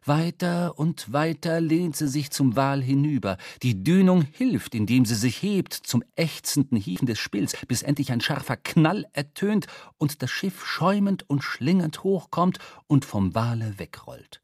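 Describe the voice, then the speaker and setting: A man's voice: a little high, animated, measured pace, hardly any pauses, a little mellow, no room sound, studio quality. A man narrating a literary radio drama with vivid, precise storytelling. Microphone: close studio microphone.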